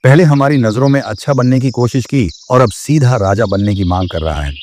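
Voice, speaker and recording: A man's voice: mid-range voice, brisk, darkish tone, slight background noise, natural voice. A man voicing a suspicious jungle animal in a cartoon moral story, disapproving in manner. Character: suspicious male jungle animal advising the king.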